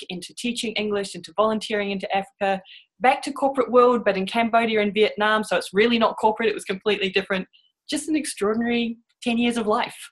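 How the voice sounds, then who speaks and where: A middle-aged woman tells her own story as a podcast guest. She speaks in a reflective, candid way with a wry edge, at a middle pitch. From New Zealand and Australia. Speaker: interview guest.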